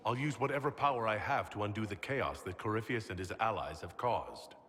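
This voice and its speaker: Low-voiced, earnest heroic leader. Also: male voice, expressive, brisk, a bit bright, in a large hall, a little hiss or hum. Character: earnest, determined heroic male leader of an Inquisition. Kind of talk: dramatic game dialogue.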